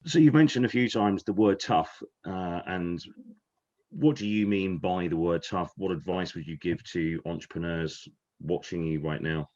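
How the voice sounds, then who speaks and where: A man's voice: low voice, moderate pace, a little mellow. Male interviewer, slightly dull recording. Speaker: interviewer, host. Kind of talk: interview hosting.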